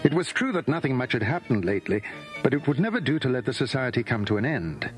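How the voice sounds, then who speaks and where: A man's voice: medium pitch, big pitch swings, fast talker, lightly acted. A man reading scripted narration for a children's adventure drama. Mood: narrating. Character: male storyteller of a children's adventure. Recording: 1980s cassette with faint music.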